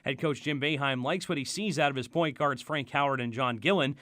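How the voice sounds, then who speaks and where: A man's voice: medium pitch, fairly quick, bright, forceful tone, dry recording. A man anchoring a sports update, reading a scripted news report.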